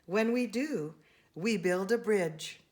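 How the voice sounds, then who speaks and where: A woman reading a children's picture book aloud, expressively and with deliberate emphasis on key words. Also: medium pitch. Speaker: storybook reader.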